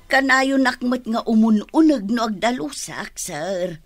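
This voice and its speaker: An actress playing a gossipy, deferential household helper in an Ilocano radio drama, with an Ilocano accent. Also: female voice, a little high, animated, a little slow, no room sound, studio quality.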